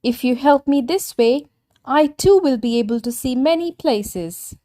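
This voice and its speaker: A woman's voice: high-pitched, lively intonation, measured pace, mellow, voice pushed up. A woman voicing a talkative, eager male tortoise in a storybook, speaking in an earnest, reassuring way. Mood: eager, persuasive.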